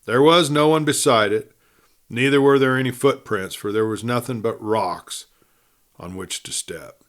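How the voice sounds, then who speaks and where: A man narrating a scripted western story in a measured, dramatic manner. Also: medium pitch, fairly bright tone. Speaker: audiobook narrator.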